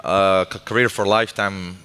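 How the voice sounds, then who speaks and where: A man's voice: low-pitched, flat delivery, moderate pace, pushed and bright, smooth. A man from Croatia, a guest on a live stage interview, talking loosely with self-mocking asides and many restarts. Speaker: guest, tech company developer lead. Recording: long hall reverb.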